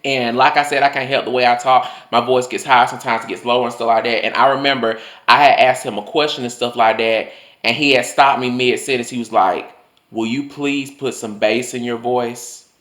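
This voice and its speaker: A man's voice: fairly even intonation, fairly quick, a bit bright. Casual young male vlogger, talky and profane, mid-range voice that drifts up and down; roomy echo. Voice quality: voice swings higher and lower. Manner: chatty, loose, full of "like" and swearing. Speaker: vlogger telling personal stories. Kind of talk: casual storytelling monologue.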